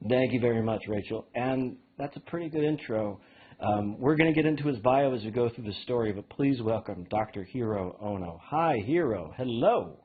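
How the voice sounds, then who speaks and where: A man's voice: medium pitch, animated, normal speed, breathy edge. A man hosting a live lecture, introducing the guest and steering with upbeat questions. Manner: upbeat, leads questions with restarts. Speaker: host, moderator. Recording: tinny phone-like line.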